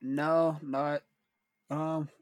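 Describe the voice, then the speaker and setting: A man's voice: fairly high pitch, level tone, very slow, no echo. A man around twenty teasing his friend in a laid-back casual podcast chat. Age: about 20. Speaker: podcast co-host.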